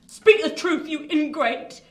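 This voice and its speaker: Bitter mad old lady in a radio play, slow and theatrical, declaiming, echoey room. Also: high-pitched, animated. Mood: bitter accusing. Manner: anguished, declamatory, repeating phrases. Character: embittered, deranged old adoptive mother, a wealthy recluse.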